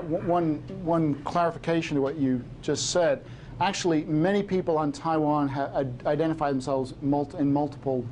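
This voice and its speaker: A middle-aged man gives a lecture in a fairly high voice, stopping and restarting often and filling the gaps with "uh". A faint hiss sits under the recording. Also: darkish tone. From Hong Kong. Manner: halting, many fillers and restarts. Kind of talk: lecture answering audience questions.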